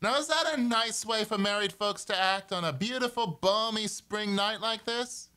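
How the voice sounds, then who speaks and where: A man's voice: sits high, moderate pace, sharp tone, clean tone. A man playing a blustery but starry-eyed policeman in an old-time radio comedy.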